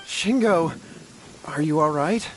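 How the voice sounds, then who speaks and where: A caring young brother in an anime game, humble and worried. His voice sits a little high. Faint noise runs under the dialogue. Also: slow, rough recording.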